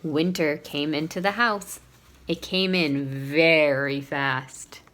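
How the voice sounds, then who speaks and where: A woman's voice: low-pitched, fairly even intonation, slow, a bit bright. A woman reading a storybook aloud to young children in a teacherly way, putting questions to her listeners.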